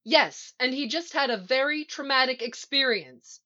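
A woman acting a protective, indignant young woman in a story, forceful and scolding. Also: sits high, animated, moderate pace, highs cut off, voice pushed up.

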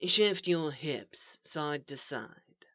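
A woman voicing a calm, eerie male stranger in an audio story, speaking softly, slowly and with polite patience. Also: deep voice, exaggerated voice. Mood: calm, coaxing. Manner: soft, slow, even and reasonable. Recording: echoey, slightly dull home recording.

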